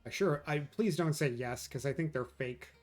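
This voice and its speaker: A man's voice: fairly high pitch, moderate pace. A man from New York chatting casually with his viewers while streaming a game, answering chat as he plays. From New York, USA. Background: faint game music.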